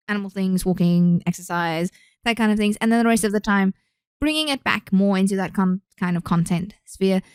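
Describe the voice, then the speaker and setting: A young woman talks casually on her own podcast, rambling and restarting her sentences as she goes. Her voice sits a little high. The recording is close and dry. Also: a little mellow, dry recording. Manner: chatty, rambling, with frequent restarts. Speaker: podcast host. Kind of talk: casual solo podcast chat.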